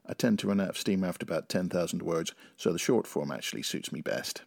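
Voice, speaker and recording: A middle-aged man from Northern Ireland with a very low, slightly rough voice speaks as a writer on a podcast. The sound is a little roomy. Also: expressive, fast talker, darkish tone, clean studio sound. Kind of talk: interview answers and reading own short story.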